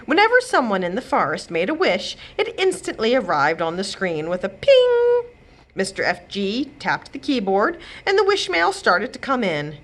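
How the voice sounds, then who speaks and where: A woman reading a children's chapter book aloud as a storyteller, with expressive delivery. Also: medium pitch, moderate pace. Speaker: librarian host and storyteller. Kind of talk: children's read-aloud narration.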